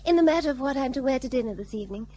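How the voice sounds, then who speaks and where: A woman's voice: sits high, expressive, racing, warm tone, slight background noise. An actress playing a flirtatious, genteel colonial British lady who wheedles and feigns helplessness in a period radio play.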